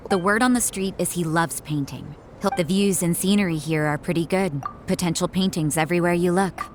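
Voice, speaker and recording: A woman voicing a cool, blunt, cynical nun in a video game, with dry, aloof, unhurried sarcasm. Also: on the low side, a little hiss or hum, natural voice. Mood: cool, detached. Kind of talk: game voice acting. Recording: background music under the voice.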